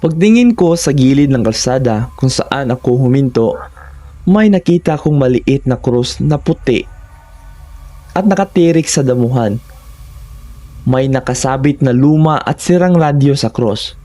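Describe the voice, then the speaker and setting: A man's voice: medium pitch, expressive, measured pace, mellow. A Filipino man narrating a first-person horror story in a dramatic, suspenseful storytelling manner.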